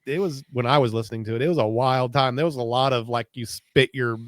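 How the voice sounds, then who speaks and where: A man's voice: medium pitch, fast, no room sound, clean studio sound. A middle-aged American man co-hosting a podcast in casual conversation. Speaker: podcast co-host. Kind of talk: casual chat.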